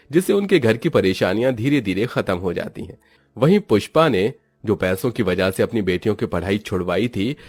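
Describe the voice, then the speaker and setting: A man's voice: fairly low pitch, slightly rough. A man narrating a scripted cartoon story as its storyteller.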